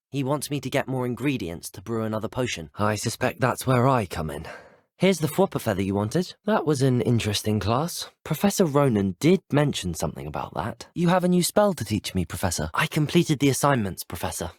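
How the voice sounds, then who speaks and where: Fantasy game hero: teenage British wizard student, courteous, self-assured, inquisitive, expressive intonation, close dry recording. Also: male voice, medium pitch, fairly quick, dry recording. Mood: curious, musing. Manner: courteous, well-spoken, formal phrasing. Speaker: player character in a fantasy video game. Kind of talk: scripted video game voice acting.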